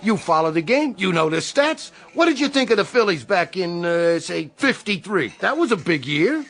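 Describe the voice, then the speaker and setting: Sharp, high-voiced belligerent barroom bully. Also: male voice, big pitch swings, normal speed, heavily acted. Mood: needling. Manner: pushy, argumentative, street-tough. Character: belligerent, hot-headed barroom baseball bettor and bully. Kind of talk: radio drama acting.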